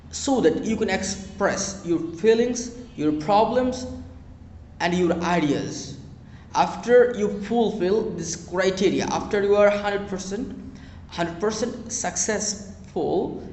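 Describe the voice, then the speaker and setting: A young Bangladeshi man, a native Bengali speaker, gives earnest advice to English learners, repeating phrases and pausing with fillers. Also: sits high, darkish tone, a little hiss or hum. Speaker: spoken English teacher. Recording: echoey room with long reverb.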